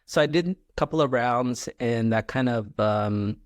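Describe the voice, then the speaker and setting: A man from Malaysia, a podcast guest, answering questions in a relaxed, halting way with many ums and uhs. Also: mid-range voice, dry recording, studio quality. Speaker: guest, clinical scientist. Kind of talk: interview answers.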